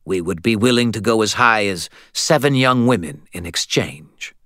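A man voicing a courtly, businesslike male vampire in an audiobook, with a formal, measured delivery. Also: on the low side, lively intonation, a bit bright, no room sound, clean studio sound, voice pushed up. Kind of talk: audiobook character voice.

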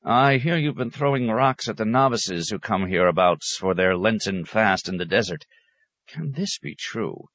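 An elderly abbot, played by a male narrator in a storybook reading. He is good-humoured but exasperated, scolding with familiar mock sternness. The pitch sits above the reader's own deep voice, and the sound is dry. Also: lively intonation, normal speed, no echo, natural voice. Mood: mock reproof.

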